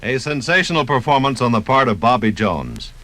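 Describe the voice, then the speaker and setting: A man's voice: mid-range voice, big pitch swings, a little fast, bright, forceful tone. An American man narrating and interviewing in scripted 1950s radio style.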